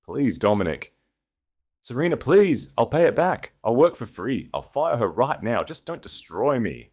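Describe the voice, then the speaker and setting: A man's voice: very animated, unhurried, darkish tone. Man acting a wailing, desperate disgraced husband. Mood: desperate pleading. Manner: wailing, pleading, cracking high-pitched sob. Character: sobbing, panicked, disgraced husband begging for mercy.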